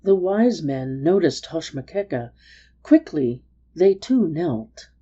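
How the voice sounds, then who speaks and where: Slow, low-voiced woman storyteller. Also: big pitch swings, mellow, husky, lo-fi sound. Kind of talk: dramatic story reading.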